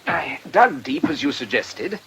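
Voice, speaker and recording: An actor playing a polite, precise, secretly troubled English gentleman in a radio drama, courteous and a little hesitant. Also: mid-range voice, big pitch swings, measured pace, vocal fry, music in the background. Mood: courteous, measured.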